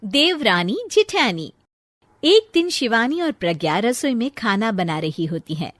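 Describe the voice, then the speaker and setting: A woman narrating a cartoon story in a scripted, animated storytelling style. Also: on the high side, a little slow, no room sound.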